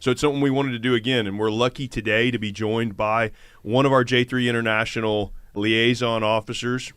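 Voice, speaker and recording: A man's voice: mid-range voice, steady tone, a little fast, fairly bright tone, clean tone, no room sound. An American man hosting a conversational podcast interview in an informal, encouraging way. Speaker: podcast host, army sergeant major.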